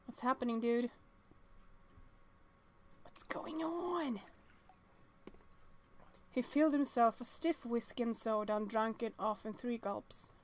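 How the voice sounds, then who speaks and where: A woman's voice: fairly high pitch, fairly even intonation, slow, pauses often. A woman reading visual novel text aloud and adding chatty reactions as she plays. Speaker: let's-play streamer.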